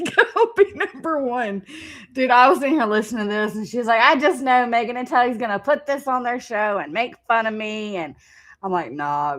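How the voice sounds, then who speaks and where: Woman host, casual reaction chat, teasing and mocking, unhurried delivery. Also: medium pitch, a bit bright. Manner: teasing, mocking, laughs a lot.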